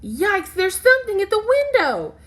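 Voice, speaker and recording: A woman voicing a stubborn but soft-hearted young child in a picture book, speaking emphatically. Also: very high voice, huge pitch swings, normal speed, pitched above the performer's own voice, heavily acted. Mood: alarmed.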